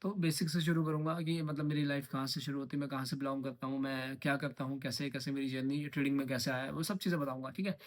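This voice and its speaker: A man's voice: mid-range voice, steady tone, fast talker, hardly any pauses. A young man in his twenties from Uttarakhand, talking casually to camera in a rambling vlog and slipping English phrases in. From Uttarakhand, India. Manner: rambling, mixes English phrases, repeats himself. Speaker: trading vlogger.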